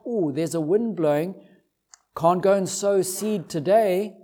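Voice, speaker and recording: A man's voice: high voice, a little slow, mellow. A man voicing an anxious, dithering farmer who mutters hesitantly to himself, as a sermon illustration. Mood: dithering, wary. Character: anxious dithering male farmer, fretting over the weather.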